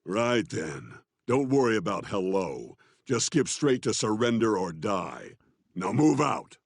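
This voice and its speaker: An actor playing a gruff veteran beast-warrior commander in a video game, gravelly and blunt as he gives orders. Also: lowish voice, sing-song, takes it slowly, no room sound, studio quality, exaggerated voice. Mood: brusque, commanding. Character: gruff veteran charr war master, brusque and commanding.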